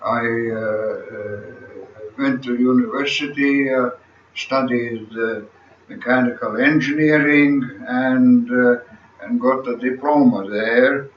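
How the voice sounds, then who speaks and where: Very old Latvian-accented man, halting speech. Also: mid-range voice, fairly even intonation. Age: 100s. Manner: halting, many pauses and "uh" fillers. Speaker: interviewee. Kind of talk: slow recollection of his life story. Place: small room at home, seated in an armchair. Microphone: camera across the room. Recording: echoey room, distant camera mic, dull sound, faint hiss.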